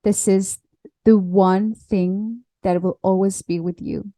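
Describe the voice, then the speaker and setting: A woman whose first language is French speaks English in a relaxed podcast chat, often pausing on fillers and restarting her sentences. Her pitch sits in an ordinary middle range. The recording is dry and close, with no room sound. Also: no room sound. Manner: halting, with many fillers and restarts. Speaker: podcast guest, alignment coach. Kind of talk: casual interview chat.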